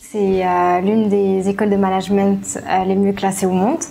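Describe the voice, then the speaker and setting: Young female student, vocal fry, flat intonation, hesitant interview delivery. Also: mid-range voice. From Morocco. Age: young adult. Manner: halting, frequent hesitations. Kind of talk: interview testimonial. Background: music.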